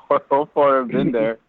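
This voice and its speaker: A man with a deep voice, a guest chatting casually and laughing easily. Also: dry recording. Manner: laid-back, laughing easily. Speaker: podcast guest. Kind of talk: casual podcast chat. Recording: tinny phone-like call audio.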